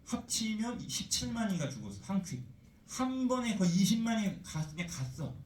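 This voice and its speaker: A male teacher giving a chatty, animated lecture, full of rhetorical questions. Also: high-pitched, normal speed, roomy sound, far from the mic, rough recording. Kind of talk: classroom lecture.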